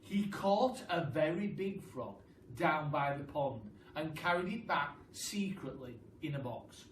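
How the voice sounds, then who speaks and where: A man reading a children's story aloud in an expressive, deliberate storytelling style, with chatty asides. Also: on the high side. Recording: roomy, distant mic, faint noise.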